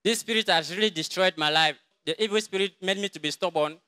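A young man with a Cameroonian English accent speaks in a quiet, halting way, the voice bright, crisp and rather high for a man. He is in a large crowded church, close to a handheld mic. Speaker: church member giving testimony. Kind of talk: testimony in church. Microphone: handheld mic held by another person.

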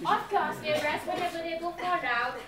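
High-pitched young woman narrating on stage. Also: moderate pace, over music. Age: young adult. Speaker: student narrator. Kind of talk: dramatic readers theatre narration. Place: large lecture hall, far camera in audience. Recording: very echoey lecture hall, distant, noisy audience.

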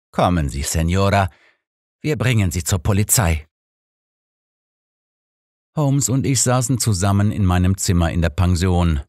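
A man with a fairly low voice reads a story as an audiobook narrator. The sound is dry and close, with scripted phrasing. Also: no room sound.

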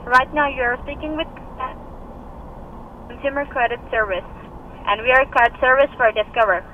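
A woman's voice: high voice, normal speed, a little hiss or hum. Insistent woman on a muffled phone line. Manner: insistent, repetitive scripted demands. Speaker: scam caller. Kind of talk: phone call. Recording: muffled phone audio over car speaker.

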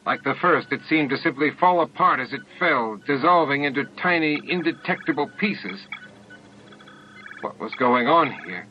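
A man's voice: fairly high pitch, animated, moderate pace, slight background noise. A man reading scripted fiction aloud as a dramatic audiobook narrator. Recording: dull lo-fi old audiobook tape. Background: music and added sound effects.

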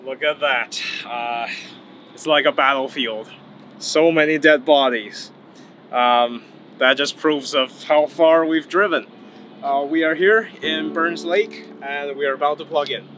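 A man in his thirties filming an electric car road-trip vlog at highway rest stops, talking to camera in a relaxed way with lots of "uh" fillers. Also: measured pace. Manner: relaxed, frequent "uh" fillers, matter-of-fact. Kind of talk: casual travel vlog to camera. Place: outdoors at highway rest stops and charging stations. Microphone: camera mic, filmed at close to mid range. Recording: outdoor camera audio with clear background noise.

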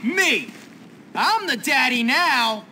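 Cocky, high-pitched teenage tough guy. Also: sing-song, slow, pushed and bright, slight background noise, heavily acted. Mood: triumphant boasting. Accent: American. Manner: brash, sarcastic. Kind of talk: video game cutscene acting.

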